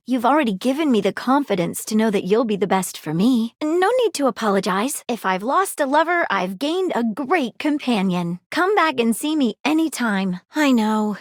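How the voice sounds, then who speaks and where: A woman voicing an earnest, flirtatious, plain-spoken amateur detective in a video game, with theatrical, deliberate phrasing. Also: fairly high pitch, no echo, studio quality. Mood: sincere, measured. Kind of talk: expressive scripted game character dialogue.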